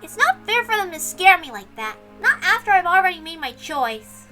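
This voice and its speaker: A woman voicing an excitable, stubborn little girl in a visual novel read-aloud. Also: extremely high pitch, normal speed, over music, pitched above the performer's own voice.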